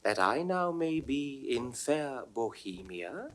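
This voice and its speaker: An actor playing Time as a stately, ageless chorus, speaking verse to the audience in a solemn, measured, declamatory manner. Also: male voice, mid-range voice, big pitch swings, fairly bright tone. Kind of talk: 1960s classical verse recitation for audio drama.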